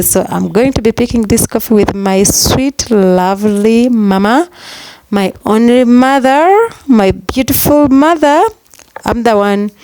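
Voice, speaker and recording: A woman's voice: medium pitch, darkish tone, rough recording. A woman from Uganda with a Ugandan accent, chatting upbeat and repetitive to camera in her village coffee garden. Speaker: vlogger. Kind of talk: casual vlog talk to camera. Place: outdoors in a rural coffee garden.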